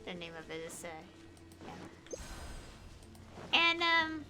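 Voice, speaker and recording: A woman game streamer chatting casually on a livestream, rambling and telling stories in halting fragments. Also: high voice, big pitch swings, music in the background.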